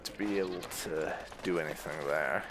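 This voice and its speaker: A man's voice: low-pitched, normal speed, background noise. A man giving casual playthrough commentary, rambling a little as he thinks aloud. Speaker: gaming video host.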